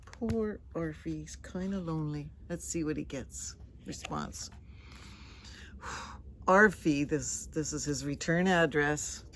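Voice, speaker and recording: Lady doing kids storytime, slowish, lower-ish voice, gentle and chatty, asks little questions. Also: a little hiss or hum. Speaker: storybook reader. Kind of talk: children's read-aloud with chatty asides.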